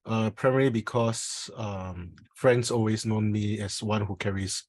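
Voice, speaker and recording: A man's voice: fairly low pitch, fairly even intonation, a little slow, a little mellow, dry recording. A man with a Singaporean accent giving an informal self-introduction in a meeting. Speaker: meeting participant.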